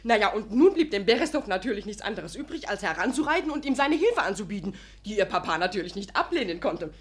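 An actress playing a cheeky, gossipy young maidservant in a radio comedy, eager and teasing. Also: female voice, mid-range voice, big pitch swings, quick pace, breathy edge. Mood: gleeful, excited. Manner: breathlessly eager, giggly, teasing. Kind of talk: animated radio comedy storytelling.

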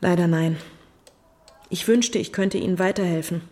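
A woman's voice: fairly low pitch, moderate pace, warm tone, lightly acted. A woman playing a stern but kindly middle-aged orphanage matron in an audio drama, grave and formal. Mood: grave, sorrowful. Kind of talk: German audio drama acting.

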